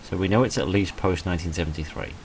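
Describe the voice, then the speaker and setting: A man's voice: low voice, fast, a little hiss or hum. A man reading a visual novel game aloud as he plays, with dry, self-deprecating asides. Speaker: video creator playing and reading a visual novel. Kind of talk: let's play, reading game text aloud with off-script asides.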